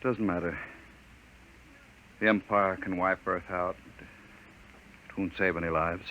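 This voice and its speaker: Impassioned scientist on sharp vintage radio. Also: male voice, deep voice, fairly even intonation, unhurried, dull audio. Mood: bleak, resigned. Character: impassioned, desperate human scientist from an empire, turning bitterly resigned. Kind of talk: 1950s radio acting. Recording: noisy vintage 1950s radio recording.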